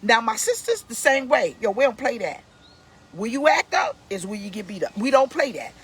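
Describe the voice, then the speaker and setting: A woman's voice: on the high side, very animated, normal speed, husky. A woman in her fifties hosting a casual motivational talk, emphatic and preachy, repeating her key points. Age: 50s. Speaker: host, pastor.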